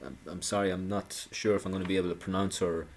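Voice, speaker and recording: Man, deep voice, sarcastic commentator delivering an indignant monologue with stumbles and restarts. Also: flat delivery, quick pace, a little mellow. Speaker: political commentator.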